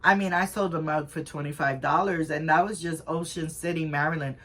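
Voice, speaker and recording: A woman's voice: deep voice, fairly even intonation, brisk, fairly bright tone. A woman co-hosting a casual reselling chat, talking in a rambling way with lots of fillers and restarts. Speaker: co-host of a reselling channel.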